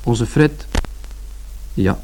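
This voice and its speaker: An actor playing an observant son who narrates a family journey in a measured, descriptive way for a radio drama. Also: male voice, mid-range voice, a little mellow, a little hiss or hum, rough recording. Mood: reflective.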